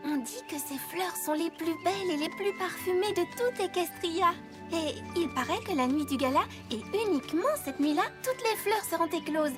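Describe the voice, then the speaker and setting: Cartoon casting: timid gentle mare, very high voice, hesitant and apologetic, music underneath. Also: female voice, moderate pace. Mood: dreamy. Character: shy, gentle female pony who loves nature. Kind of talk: animated cartoon dub.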